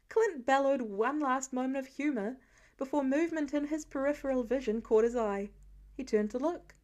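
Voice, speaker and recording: A woman reading a story aloud as an expressive audiobook-style narrator of fan fiction. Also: high-pitched.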